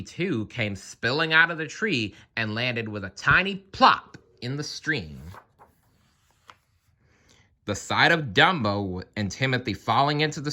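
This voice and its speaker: A man narrating a children's story aloud in an expressive storytelling style. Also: medium pitch. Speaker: story reader.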